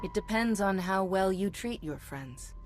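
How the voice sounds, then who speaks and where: A woman voicing a composed, principled heroine in a video game, measured and earnest. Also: mid-range voice, lively intonation, slight background noise, lightly acted. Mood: calm.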